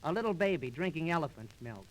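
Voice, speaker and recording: A man's voice: on the high side, expressive, fast talker, slight background noise, rough recording. A man performing mock-earnest comic patter as a comedian in an old 1930s radio show. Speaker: comedian and singer in a comedy duo. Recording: restored 1930s radio transcription disc.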